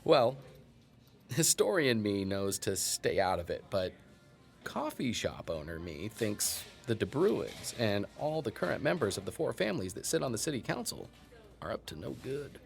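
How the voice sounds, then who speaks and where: Folksy town historian for audio drama: wry, emphatic, lively pitch swings, some creak. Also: male voice, moderate pace. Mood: guarded, teasing. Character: wry, folksy male small-town historian and coffee shop owner. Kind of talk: naturalistic audio drama interview.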